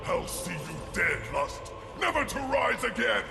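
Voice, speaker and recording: An actor playing a fierce, righteous archangel warrior in a video game, with grandiose, stern declamation. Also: male voice, high-pitched, big pitch swings, measured pace, creaky, heavily acted. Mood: wrathful. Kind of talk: video game cutscene acting. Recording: echoey hall reverb, loud music behind.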